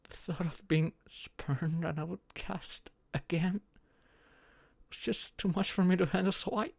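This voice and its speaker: A man acting a shy, halting, slow-spoken young guy. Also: high voice, no room sound, heavily acted. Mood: anxious, tearful. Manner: halting, sighing, breathy murmurs rising to tearful outbursts. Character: shy, anxious young man confiding in his closest friend. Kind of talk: intimate audio roleplay acting.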